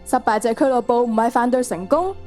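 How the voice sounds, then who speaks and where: A woman's voice: fairly high pitch, a little fast, a little mellow, faint music behind, natural voice. A teenage girl playing an earnest, emphatic schoolgirl club leader in a radio drama. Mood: impassioned.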